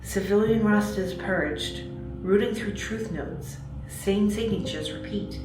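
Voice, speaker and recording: A woman playing a sharp, skeptical military analyst in a sci-fi audio drama, clipped and analytical. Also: medium pitch, slow pace, close to an everyday voice. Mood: probing. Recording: roomy echo under prominent music.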